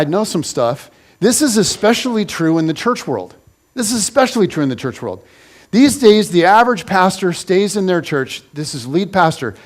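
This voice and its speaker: A man in his forties preaches in a church in a chatty, joking way. His voice sits fairly high for a man. He often restarts his sentences and teases the congregation as he goes. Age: 40s. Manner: conversational and joking, with many restarts. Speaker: pastor. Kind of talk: casual sermon.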